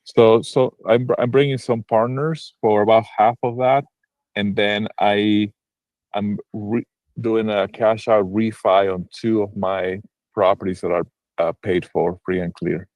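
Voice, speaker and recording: A lowish-voiced man from Argentina, speaking on a video call in a dry, close sound. He talks about his work as a broker in a halting way, full of restarts and fillers. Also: flat delivery, darkish tone, no echo, low-quality recording. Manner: hesitant, many restarts and fillers. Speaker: real estate broker and investor. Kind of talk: casual livestream call-in chat.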